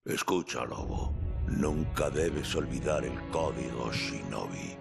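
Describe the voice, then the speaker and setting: A man with a Castilian Spanish accent playing a stern older shinobi master and foster father in a video game, gravelly and solemn. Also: expressive, heavily acted. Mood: stern, commanding. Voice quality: deep, gravelly. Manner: slow, solemn, commanding. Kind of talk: dramatic video game dub. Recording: loud music under the voice. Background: loud music.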